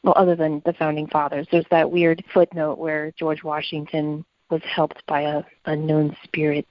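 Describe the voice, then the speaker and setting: Female podcast co-host, lowish register, earnest, reflective discussion, close dry mic with slightly muffled fidelity. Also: little pitch movement, moderate pace, no room sound.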